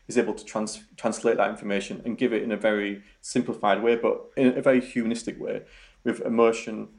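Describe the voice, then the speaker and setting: A young man with a Yorkshire accent answers interview questions. His voice sits low and has a gravelly edge. He keeps stopping to restart his words, with many ums along the way. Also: flat delivery, a little fast, a little mellow. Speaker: robotics researcher guest.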